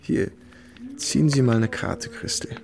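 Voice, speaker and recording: An actor playing an easygoing, courteous American army captain in a naturalistic, conversational radio drama style. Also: male voice, mid-range voice, sing-song, measured pace, gravelly, a little mellow, slight background noise. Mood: confiding, playful. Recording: faint music behind the voice.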